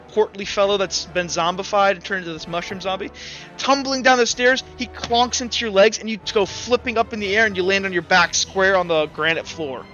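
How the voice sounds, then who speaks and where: A young man with a high, bright voice narrates a tabletop game as its game master. He rambles loosely through the action with plenty of fillers. The audio is a bit muffled, and soft music plays in the background. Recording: slightly muffled, dull audio. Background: faint background music.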